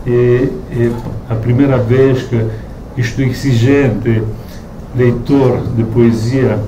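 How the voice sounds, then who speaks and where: A man with a Romanian accent, whose first language is Romanian, gives a halting thank-you speech as author at a book launch, often stopping to search for words. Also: medium pitch, steady tone. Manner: hesitant, searching for words, many restarts. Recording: echoey room, distant mic, noisy.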